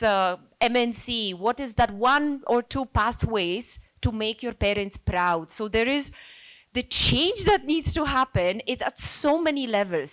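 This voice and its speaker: A woman's voice: on the high side, a bit bright. A woman from Poland, a native Polish speaker, speaking informally and earnestly in a group discussion, reflecting and thinking aloud. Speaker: NGO worker speaking as a participant.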